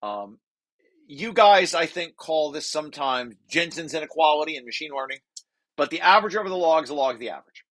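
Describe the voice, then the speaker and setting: A man's voice: on the high side, expressive, a little fast, a bit bright. A man presenting a research paper draft informally to colleagues, repeating and rephrasing key phrases as he explains.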